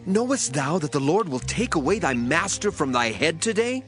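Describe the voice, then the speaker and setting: A man's voice: on the high side, big pitch swings, moderate pace, a bit bright, soft background music. A man playing a portentous son of the prophets in a dramatized Bible. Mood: portentous questioning.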